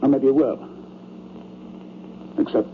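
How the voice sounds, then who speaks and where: An actor playing a blunt, uneasy rich man in an old-time radio drama, with halting, defensive, streetwise speech. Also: medium pitch, steady tone, lightly acted. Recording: tinny, noisy old radio broadcast.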